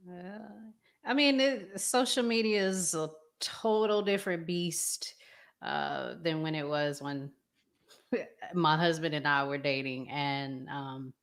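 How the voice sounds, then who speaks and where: A woman's voice: low voice, takes it slowly. A woman chatting conversationally as the host of a relationship talk show. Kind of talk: casual conversational chat.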